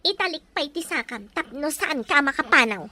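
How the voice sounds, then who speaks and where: A woman's voice: high-pitched, expressive, normal speed, bright, forceful tone, exaggerated voice. A woman playing a cruel, scheming mistress of the house, domineering and mocking, in an audio drama. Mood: cruel mockery. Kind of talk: melodramatic audio drama dialogue.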